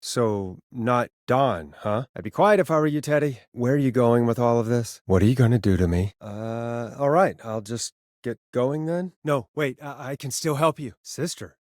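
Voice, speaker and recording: A man's voice: medium pitch, moderate pace, few pauses, a little mellow, no room sound, studio quality, natural voice. A man acting an earnest, wry late-night radio DJ caught in a crisis in a video game, talking conversationally and sometimes hesitantly. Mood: uneasy, pleading. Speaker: radio host.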